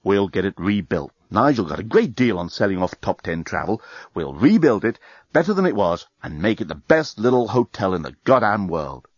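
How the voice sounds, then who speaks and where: Man playing Dan, a low-voiced sensible fellow. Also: very animated, normal speed, husky, no echo, highs cut off. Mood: sensible, downbeat. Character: Dan, a sensible, hesitant man stranded aboard a starship.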